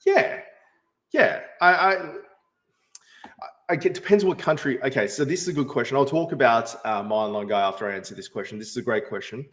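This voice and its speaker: A man's voice: medium pitch, brisk, fairly bright tone. Rambling man hosting a livestream. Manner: rambling, frequent fillers and restarts. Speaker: livestream host answering chat. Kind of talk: casual live chat.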